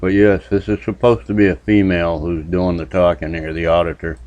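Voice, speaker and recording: A man's voice: sits low, level tone, brisk, darkish tone, no echo, dull audio. A man from New Hampshire hosting a casual reaction commentary channel, making dry, sardonic remarks. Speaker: YouTube commentary host.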